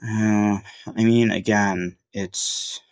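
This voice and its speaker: Male film reviewer, deep voice, stuffed-up and sniffly, meandering casual delivery, dry room. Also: steady tone, extremely slow, dry recording. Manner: rambling, many fillers and restarts. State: sniffly from a cold. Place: at home.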